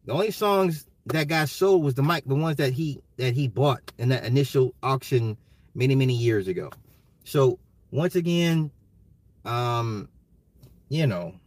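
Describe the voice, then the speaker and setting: An American man ranting casually on a livestream, emphatic and slangy, repeating his phrases, his voice getting slightly hoarse. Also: on the high side, fairly bright tone, dry recording. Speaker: livestream host. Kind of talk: casual opinionated livestream rant.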